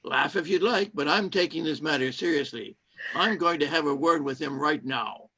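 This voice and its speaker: Man playing a scheming radio-drama husband, insistent, husky, dull lo-fi sound. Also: on the high side, normal speed, bright, forceful tone. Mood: guarded, suspicious. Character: controlling, secretive saboteur husband posing as kindly cinema owner. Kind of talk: British-set radio play acting. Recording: dull lo-fi streamed audio.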